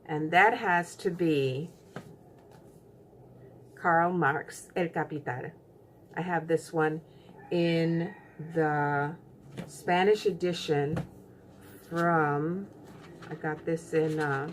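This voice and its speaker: Woman vlogger, lowish voice, unhurried chatty delivery with ums, faint noise behind. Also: fairly even intonation. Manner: unhurried, chatty, many fillers. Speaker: BookTube channel host. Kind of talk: casual book tag vlog.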